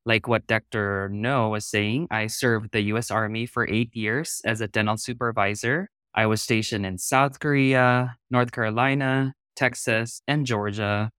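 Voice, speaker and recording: The guest on a career podcast, a thirties man with a lowish voice, telling his story in a dry-sounding recording. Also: fairly even intonation, measured pace, hardly any pauses, a bit bright, smooth, no room sound. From Philippines. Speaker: podcast guest, pharmacy resident. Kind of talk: interview, recounting career.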